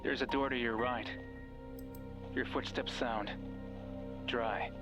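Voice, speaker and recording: A man's voice: mid-range voice, fairly even intonation, lightly acted. Man acting a menacing, controlling guide, music behind. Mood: commanding. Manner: commanding, condescending, deliberate. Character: mysterious controlling male voice guiding through a hospital, menacing, falsely caring. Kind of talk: horror visual novel voice acting.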